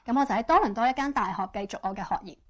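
Woman, church interpreter, rapid delivery, higher voice, fluent, frequent fillers. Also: fairly bright tone. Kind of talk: consecutive interpretation.

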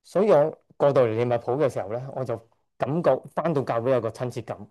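A man's voice: medium pitch, fast talker, warm tone. A middle-aged man from Hong Kong giving a church testimony, hesitant, often correcting himself and chuckling shyly. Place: church service.

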